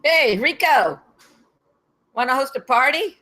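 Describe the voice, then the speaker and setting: Woman reading a high, bright, smug young jock. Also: lively intonation, a little slow, exaggerated voice. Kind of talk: table read of a comedy screenplay.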